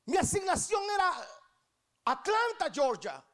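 A woman preacher in her thirties with a Guatemalan accent and an extremely high pitch. She delivers a sermon with emphasis, repeating her key phrases and posing rhetorical questions to the congregation. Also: huge pitch swings, unhurried, fairly bright tone. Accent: Guatemalan Spanish. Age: 30s. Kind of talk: church sermon.

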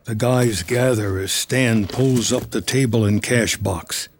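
A man's voice: lowish voice, slow pace. A man reading scripted screenplay narration of a film story. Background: music.

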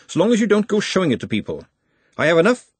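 A brisk young woman who rules her kitchen in a fantasy audiobook, voiced by a male narrator. She is blunt, bossy and scolding, with a raised, very animated pitch. The recording is dry and close. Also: husky, no room sound, exaggerated voice. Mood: grudgingly kind. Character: bossy, brisk, no-nonsense young female cook.

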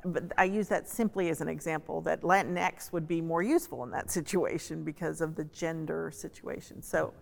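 A woman from the US South speaking as a panel guest in a conversational, measured way, pausing to choose her words. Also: low voice, vocal fry, darkish tone. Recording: long hall-like reverb.

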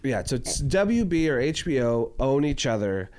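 A man's voice: medium pitch, very animated, normal speed, a little mellow, a little hiss or hum. Male co-host, mid-twenties, mid-range voice, loose and rambling delivery full of fillers. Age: 20s. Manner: rambling, heavy use of "like" fillers. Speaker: podcast co-host. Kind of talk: casual chat.

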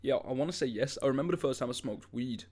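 A man's voice: medium pitch, lively intonation, fast, a little mellow. Casual young man chatting. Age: 20s. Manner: informal, slangy, sweary. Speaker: podcast co-host. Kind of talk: casual chat and storytelling.

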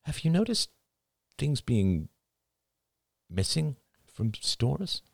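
A man's voice: mid-range voice, very animated, slow pace, creaky, darkish tone, no echo, clean studio sound, pitched above the performer's own voice, heavily acted. A man voicing an anxious, earnest young father who pleads with feeling in an audiobook reading. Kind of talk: audiobook dialogue.

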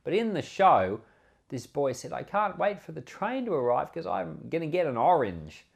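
A man's voice: a little high, big pitch swings, normal speed, vocal fry. A man with an Australian accent, a pastor giving an informal sermon, explaining scripture conversationally and posing rhetorical questions. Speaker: pastor and Bible podcast host.

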